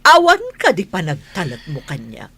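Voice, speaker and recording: A woman playing a haughty, easily outraged wealthy wife in an audio drama, with an Ilocano Filipino accent and sharp, indignant questioning. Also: fairly low pitch, wildly swooping pitch, normal speed, slightly rough, full character voice.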